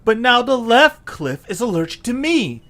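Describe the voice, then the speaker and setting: A man playing an excitable, earnest young adventurer leading a stranded group in an audio drama. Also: extremely high pitch, moderate pace, full character voice. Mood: alarmed. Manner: exclamatory, animated, earnest. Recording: clear background hiss and noise.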